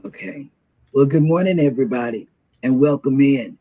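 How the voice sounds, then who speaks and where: A man hosting a morning show in casual, rambling chat, with a throaty, hoarse voice from a sore throat. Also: mid-range voice, animated, moderate pace, warm tone, dull audio.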